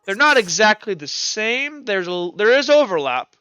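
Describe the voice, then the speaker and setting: A man's voice: sits high, big pitch swings, normal speed, sharp tone, smooth, no room sound, pitched above the performer's own voice, full character voice. A man voicing a blunt, hard-drinking male professor who swears and rambles with fillers.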